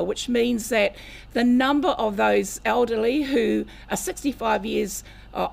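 An older woman with a New Zealand accent and a croaky voice, giving an earnest public talk as a researcher at a lectern mic in a meeting room. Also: a little high. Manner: measured, earnest, with long greetings in Māori. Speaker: guest speaker, researcher. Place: community meeting room, indoors.

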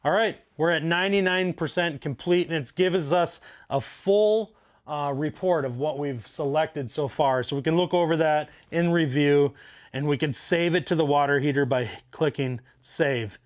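A man's voice: on the high side, normal speed. A man in his forties, a plumber, casually talking through an installation step by step in a basement utility room. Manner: practical, walks through steps out loud. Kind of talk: casual how-to demonstration.

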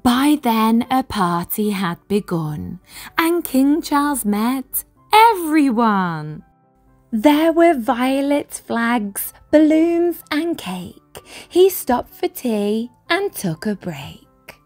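A woman reading a picture book aloud expressively, in an animated way for young children. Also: fairly high pitch, very slow, soft background music. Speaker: children's story reader.

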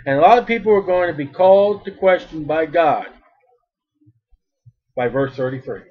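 A man preaching a sermon as a street preacher, with a big loud voice and emphatic delivery that swells in volume. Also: fairly high pitch, normal speed, muffled recording.

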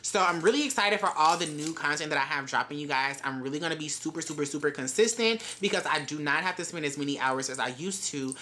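A woman, chatting to camera in a casual vlog, animated and profane with playful shouts. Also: sits low, fast, few pauses. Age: 20s. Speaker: vlogger. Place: bedroom closet with shelves of bags and shoes, indoors.

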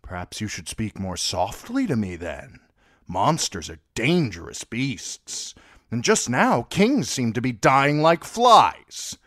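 A man voicing a sardonic, sharp-tongued dwarf lord who answers with biting wit. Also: medium pitch, very animated, takes it slowly, dry recording, clean studio sound, pitched above the performer's own voice, full character voice.

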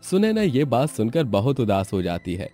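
A man's voice: medium pitch, very animated, moderate pace, warm tone, faint music behind. A man narrating a scripted family story as a storyteller.

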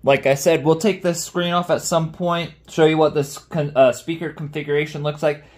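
A man's voice: fairly high pitch, fairly even intonation, normal speed. A man giving casual, slangy, off-the-cuff commentary as he reviews a product. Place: small indoor room with shelves of speakers.

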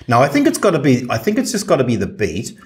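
A man's voice: medium pitch, huge pitch swings, quick pace. A man talking casually as a podcast co-host. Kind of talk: casual chat.

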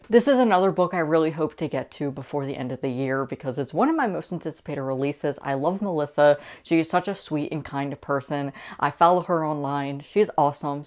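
A young adult woman speaking enthusiastically and casually to camera as a book vlogger. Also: low-pitched.

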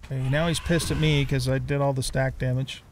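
A man's voice: medium pitch, little pitch movement, brisk, slight background noise. An older man giving casual, informal video game commentary, thinking aloud as he plays. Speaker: gaming streamer, let's player.

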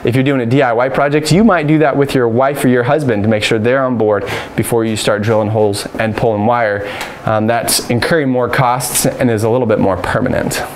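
Casting: American man, 30s, mid-pitched, practical electrician explaining a job informally, basement ambience noise behind him. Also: steady tone, brisk. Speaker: electrician presenting a how-to tutorial. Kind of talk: informal hands-on instruction. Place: unfinished basement with exposed joists. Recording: clearly noisy room ambience.

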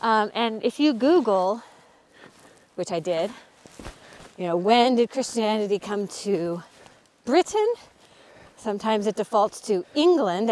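A middle-aged woman hosting a casual walking talk outdoors, explaining history with frequent ums. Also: mid-range voice. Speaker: author and channel host. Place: outdoors on a cold hillside.

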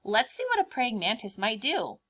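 A woman's voice: fairly high pitch, big pitch swings, normal speed, bright, forceful tone. A young adult woman, a preschool teacher, explaining things simply and expressively with questions for young listeners during a read-aloud.

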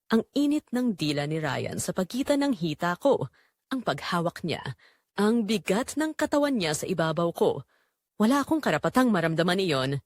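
A woman's voice: mid-range voice, no room sound, studio quality. A woman playing a guilt-torn wife and mother confessing a secret in an intimate, dramatic audio drama narration, with a Filipino accent.

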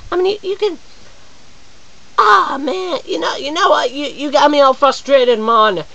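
A performer voicing an indignant adult man who rants straight at the viewer, padding his complaints with "man" and "I mean" and rising into high, shouting exasperation. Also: low-quality recording. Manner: aggrieved rant, frequent "man" and "I mean" fillers. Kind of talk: comedic character monologue to camera.

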